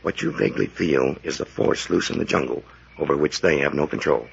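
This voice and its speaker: An actor playing a grave scientist doctor in a 1940s radio adventure, speaking in a measured, formal, ominous way. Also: male voice, rumbling low, vocal fry, slightly rough, heavily acted. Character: grave, trusting scientist doctor, foreboding of jungle evil. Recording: muffled vintage 1940s radio recording.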